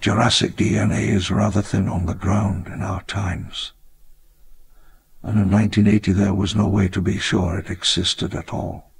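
An actor playing a wistful elderly park founder, narrating his memoir in measured, reflective storytelling. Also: lowish voice, level tone, vocal fry, husky, exaggerated voice. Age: older. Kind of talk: scripted memoir narration in a game.

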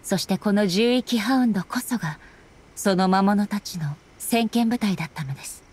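A woman playing a solemn, dignified female ruler in an anime game, speaking formally and with measured politeness. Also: mid-range voice, darkish tone, a little hiss or hum, close to an everyday voice. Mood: grave, somber. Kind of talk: anime game dialogue acting.

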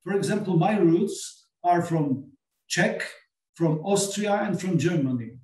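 A middle-aged Polish man speaking English with a Polish accent, talking earnestly on a film panel and often pausing to search for words. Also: high-pitched, lively intonation, mellow. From Poland. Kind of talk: panel conversation. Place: small meeting room with sofas. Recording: echoey room, voice far from mic.

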